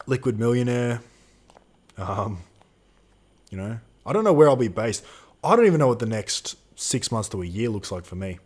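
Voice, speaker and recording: A man's voice: medium pitch, animated, normal speed, pauses often, darkish tone, breathy edge. A man in his twenties co-hosting a live Q&A stream, chatting casually and reading out viewer questions. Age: 20s. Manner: casual slangy, swears, reads out chat questions.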